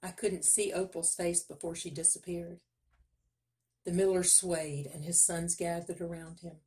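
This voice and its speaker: A woman's voice: on the low side, little pitch movement, a little slow, warm tone. A woman reading a children's story aloud as narrator, in scripted narration.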